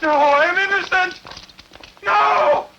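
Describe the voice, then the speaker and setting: A woman's voice: very high voice, slow, vocal fry, sharp tone, highs cut off, slight background noise, exaggerated voice. A woman acting a terrified servant in a dubbed historical epic, pleading and wailing for mercy.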